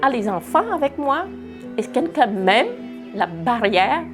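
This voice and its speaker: A woman in her sixties giving a slow, reflective personal testimony. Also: fairly high pitch, animated. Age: 60s. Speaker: interviewee. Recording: far from the mic with music behind.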